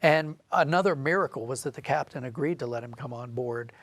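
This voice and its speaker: A man's voice: medium pitch, a little fast, a bit bright, no echo. A man speaking as an interview guest, telling a story conversationally in an explanatory, emphatic way.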